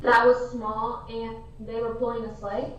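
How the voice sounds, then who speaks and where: Actress as courteous, curious lady reporter for radio drama: high voice, earnest, very echoey, muffled recording. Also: level tone, unhurried, fairly bright tone, a little hiss or hum. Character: polite, inquisitive female news field reporter. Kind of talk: radio play news interview. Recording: very echoey room, distant muffled mic.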